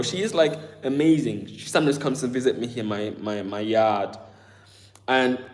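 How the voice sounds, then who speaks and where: A man with an accent that mixes Southern English, German and Ghanaian, chatting casually and rambling to his live-stream viewers. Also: mid-range voice. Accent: mix of Southern English, German and Ghanaian. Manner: rambling, full of fillers, addresses chat as bro. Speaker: livestream host.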